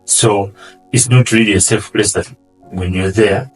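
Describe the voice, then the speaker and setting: A man with a Ugandan accent, answering interview questions earnestly and often trailing off quietly. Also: lowish voice, fairly even intonation, a little slow, recorded from a distance, soft background music, low-quality recording. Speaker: guest, asylum seeker.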